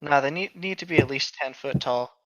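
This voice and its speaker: A man's voice: mid-range voice, brisk, a bit bright, lo-fi sound. A man co-hosting a read-along show, reading aloud and joking casually.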